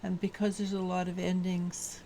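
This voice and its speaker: Woman tarot reader, low mellow voice, flat delivery, halting. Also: a little hiss or hum. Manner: halting, many restarts and fillers. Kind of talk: daily tarot reading talk.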